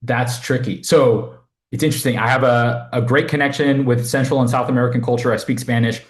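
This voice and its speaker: A man's voice: medium pitch, steady tone, a little fast. Young man from Southern California, podcast guest; easygoing, rambling delivery full of fillers. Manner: rambling, self-deprecating, many fillers. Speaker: podcast guest, fitness coach. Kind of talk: casual interview chat.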